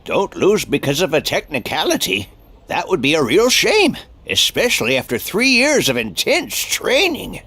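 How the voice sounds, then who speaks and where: Lecherous old master, high and swooping. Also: male voice, huge pitch swings, moderate pace, sharp tone, slightly rough, no room sound, heavily acted. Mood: advising. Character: lecherous elderly martial arts master, small old man. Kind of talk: English anime game voice acting.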